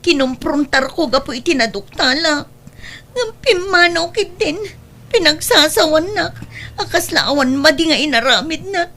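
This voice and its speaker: A woman acting a jilted young Ilocano daughter in a radio-style comedy drama. Her voice is high and creaky, with a sharp edge. She pours out her grievances in a tearful, whining way. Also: animated, normal speed, heavily acted. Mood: aggrieved. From Philippines. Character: jilted, pregnant young daughter, whiny and aggrieved.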